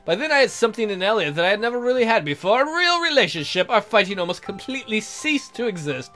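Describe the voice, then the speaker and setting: Male let's player, high lively voice, narrating a romance game theatrically with joking asides, music behind. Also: fast. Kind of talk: reading a visual novel aloud with joking asides.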